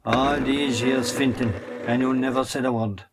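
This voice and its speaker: Grave, flat-pitched older father acted. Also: moderate pace, noisy background, close to an everyday voice. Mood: grieving reproach. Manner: grave, reproachful. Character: grieving, reproachful older father of a dead daughter. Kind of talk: amateur recorded stage drama acting.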